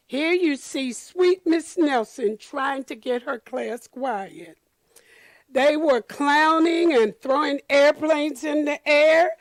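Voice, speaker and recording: A woman reading a picture book aloud to children, deliberate and expressive, pausing to comment to her listeners. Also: high voice, no room sound, low-quality recording. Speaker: storyteller for children.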